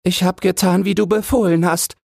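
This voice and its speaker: An old queen mother acted out by a man speaks in a raised, husky voice. She is reproachful but merciful, a figure in a fairy tale read aloud. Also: high voice, fairly even intonation, moderate pace, darkish tone, no room sound, studio quality, voice pushed up, full character voice.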